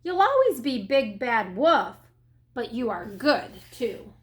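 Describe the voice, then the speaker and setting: A woman voicing an excitable, protective storybook mother duck with a dramatic, exclaiming manner. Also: a little high, slow pace. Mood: grateful, warm.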